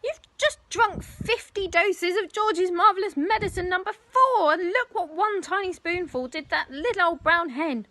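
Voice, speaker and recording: A woman's voice: extremely high pitch, normal speed, hardly any pauses, bright, forceful tone, voice pushed up. A woman voicing a fretful, anxious mother who pleads and wails. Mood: wailing. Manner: pleading, alarmed, wailing.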